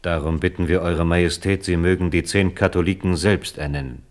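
A man's voice: sits low, fairly even intonation, fairly quick, close to an everyday voice. An actor playing an embittered older Protestant nobleman in a 1970s radio drama, grave and earnest.

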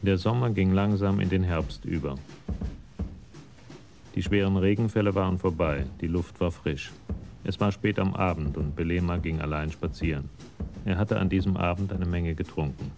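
An actor narrating a German radio crime drama in a calm, detached, matter-of-fact way. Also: male voice, low voice, moderate pace, music in the background.

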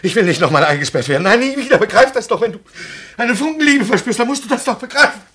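An actor playing a frightened, broken lover fresh from prison in a 1950s German radio drama, halting and anxious. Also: male voice, very high-pitched, animated, bright, forceful tone, breathy edge, exaggerated voice. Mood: desperate. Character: fearful, broken lover just freed from prison.

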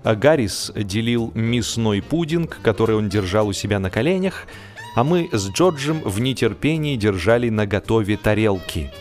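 Expressive male storyteller over music. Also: lowish voice, moderate pace. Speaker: audiobook narrator, reader. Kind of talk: dramatized humorous literary narration. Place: studio.

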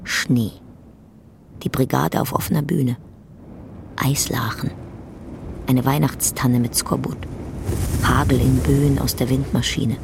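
A narrator with a creaky voice reads stage directions for a radio drama in clipped, scripted phrases. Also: medium pitch, fairly even intonation, measured pace, long pauses, background noise.

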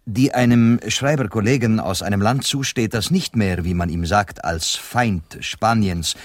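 An actor playing an amused, courteous Spanish interpreter in a German radio play, explaining in a measured way and mixing in Spanish phrases. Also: male voice, fairly low pitch, natural voice.

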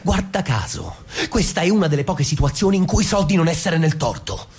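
An actor playing a defensive, self-righteous, wounded husband in an Italian radio stage drama, halting and then cutting. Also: male voice, fairly high pitch, big pitch swings, a little mellow, husky. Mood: bitter anger.

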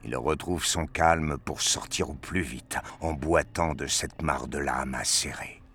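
A man's voice: rumbling low, measured pace, fairly bright tone. A man narrating a scripted adventure story.

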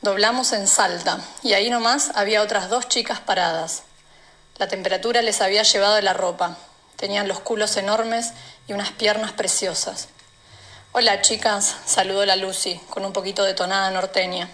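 A woman's voice: medium pitch, fairly even intonation, fairly quick, a bit bright. A woman with an Argentine accent reading a first-person novel extract as a narrator. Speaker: reader.

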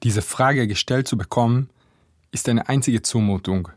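Guy from israel, narrating his own essay, deliberate n critical, medium pitch, dry studio sound. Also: dry recording. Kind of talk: scripted first-person essay narration.

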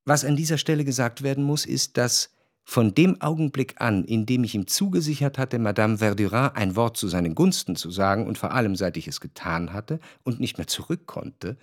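An actor playing the reflective, observant first-person narrator of a German radio drama, speaking in a measured, literary way. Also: male voice, mid-range voice, lively intonation, dry recording, clean studio sound, close to an everyday voice. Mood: reflective, wry.

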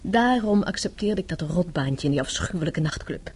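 Mellow, self-possessed young wife. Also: female voice, lowish voice, expressive, normal speed, slightly rough. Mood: confiding, assured. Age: young adult. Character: self-possessed, tough-minded young wife explaining her undercover scheme. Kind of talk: radio drama acting.

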